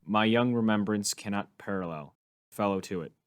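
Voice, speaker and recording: A man playing an uneasy, courteous young nobleman, reciting Shakespeare in a measured, solemn way. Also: on the low side, noisy background, close to an everyday voice. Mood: uneasy, ominous. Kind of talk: dramatic reading of Shakespeare.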